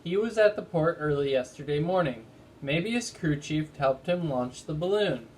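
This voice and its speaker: Man, slightly high voice, teacher reading aloud, casual storytelling, faint background noise. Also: lively intonation, normal speed, low-quality recording.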